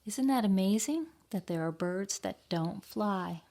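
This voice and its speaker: A woman reading a picture book aloud to young children at a library storytime, expressively, pausing to ask them questions. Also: mid-range voice, expressive, warm tone.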